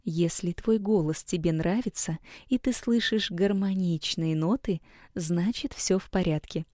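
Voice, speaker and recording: A woman with a mellow, warm voice gives a scripted lesson and speaks to her listener in a soft, coaxing way. Her recording is close and dry, with no room sound at all. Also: fairly low pitch, no echo. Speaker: women's school coach. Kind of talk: scripted instructional narration.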